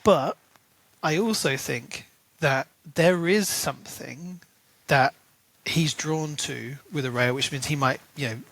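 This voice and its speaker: A man's voice: on the high side, vocal fry, no echo, poor audio. A man co-hosting a podcast, talking through a book in casual chat and briefly acting out characters' lines. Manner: animated, acts out characters' lines in quick asides. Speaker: podcast co-host.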